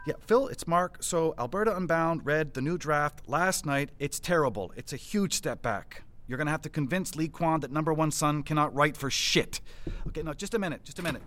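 A man's voice: a little high, a little fast, a bit bright, slight background noise, close to an everyday voice. An actor playing a harried male political staffer in a satirical audio drama, stammering, impatient and profane. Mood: stressed, irritated. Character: frazzled, foul-mouthed male political staffer.

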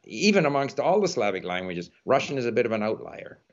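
Male interviewer rambling thoughtfully, lots of you knows, dull low-fi audio. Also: sing-song, fairly quick. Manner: talkative, musing, frequent "you know". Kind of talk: casual conversation. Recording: dull lo-fi sound.

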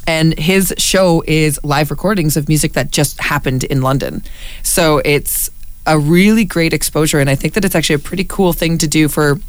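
A loud, deep-voiced woman chats in a radio studio, stumbling through fillers and restarts. Her tone is smooth and resonant, and the studio sound is close and dry. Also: normal speed, no room sound. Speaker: community radio station staff. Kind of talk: casual radio conversation.

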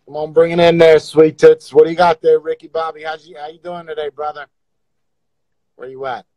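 A man runs a casual livestream, teasing his callers with crude jokes. His voice sits a little high for a man. The sound is dry and close, with no room behind it. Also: little pitch movement, a little fast, mellow, no room sound, rough recording. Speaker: livestream host. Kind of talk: casual livestream chat with viewers.

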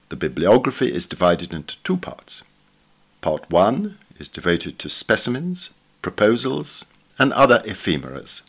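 A man reading a scripted article aloud in a measured, formal, bookish manner. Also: on the low side, fairly bright tone. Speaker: reader.

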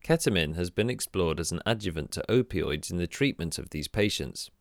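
A man narrating an introduction and asking interview questions in a measured way. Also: low-pitched, lively intonation, vocal fry, no echo, clean studio sound.

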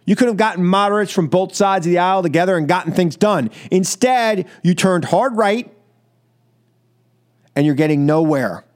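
A man's voice: sits high, animated, moderate pace. A man with an American accent hosting political talk radio, speaking emphatically and scoldingly in short, repeated phrases. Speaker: radio talk show host. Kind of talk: political opinion monologue on call-in radio.

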